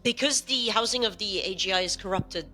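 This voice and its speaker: A woman's voice: medium pitch, fairly quick, pushed and bright. A woman playing a doubtful, questioning team member in an audio drama, searching for her words in natural, improvised-sounding dialogue. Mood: probing, suspicious.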